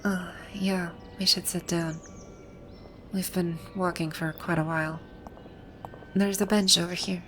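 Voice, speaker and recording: A woman playing a haughty, grouchy video game villain boss with a secretly soft side, speaking in imperious, formal grumbles in an ASMR roleplay. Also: lowish voice, little pitch movement, measured pace, long pauses, slight background noise. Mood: musing, grouchy. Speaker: audio roleplay voice actor.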